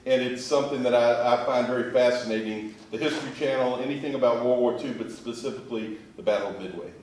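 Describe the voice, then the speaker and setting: A man's voice: mid-range voice, fast talker, darkish tone, slight background noise. A man from Kentucky, speaking conversationally as an interview guest. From Kentucky, United States. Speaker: interview guest, museum sales manager. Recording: very echoey room, distant mic.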